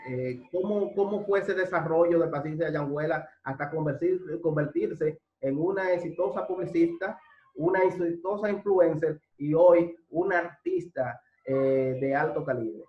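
A man's voice: on the high side, measured pace. A middle-aged man hosting an interview over a video call, asking questions with hesitant fillers. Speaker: interviewer host. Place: home room indoors. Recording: dull echoey video call audio.

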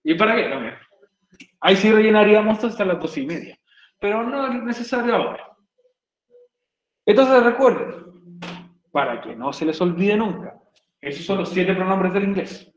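A man's voice: sits high, animated, normal speed, long pauses, echoey room, low-quality recording. A man from Chile teaching an English class online in Chilean Spanish, chatty and jokey, often checking in with his students. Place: home room on a video call.

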